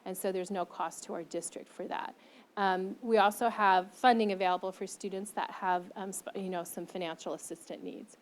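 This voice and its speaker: A middle-aged woman speaks to a conference audience through a handheld microphone. She explains at length in an earnest way, restarting phrases and filling pauses with frequent ums. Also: mid-range voice, fairly even intonation. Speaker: invited presenter, language teacher. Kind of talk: conference presentation and Q&A.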